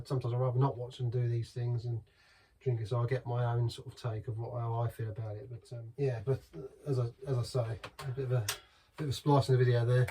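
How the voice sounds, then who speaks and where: A man's voice: mid-range voice, mellow, husky. A middle-aged man chatting casually through a beer review, rambling and restarting as he talks. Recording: voice from some distance from the mic.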